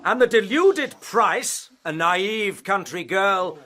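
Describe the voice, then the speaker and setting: Shrill, pompous period prosecutor. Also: male voice, expressive, takes it slowly, bright, forceful tone, full character voice. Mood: scornful, indignant. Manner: declamatory, scornful. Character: indignant, pompous 18th-century prosecutor. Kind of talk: period courtroom radio drama acting.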